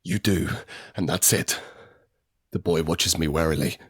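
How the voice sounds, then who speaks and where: A man's voice: rumbling low, unhurried, slightly rough, exaggerated voice. A man acting a desperate, anguished middle-aged father in a post-apocalyptic audio drama, narrating in the first person with raw intensity. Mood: grim, restrained. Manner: intense, emotionally raw, sweary. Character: desperate, anguished father surviving an apocalypse, haunted and guilt-ridden.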